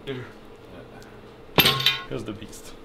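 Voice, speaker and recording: A man, a software engineer showing a power unit, self-deprecating. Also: mid-range voice, fairly even intonation, very slow, vocal fry, heavy background noise. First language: French. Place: workshop, indoors.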